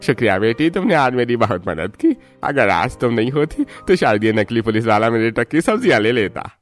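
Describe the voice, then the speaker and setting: A man's voice: medium pitch, sing-song, fairly quick, soft background music, close to an everyday voice. A man voicing an honest farmhouse uncle who drives a vegetable truck in a cartoon story, earnestly explaining himself. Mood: grateful, kindly.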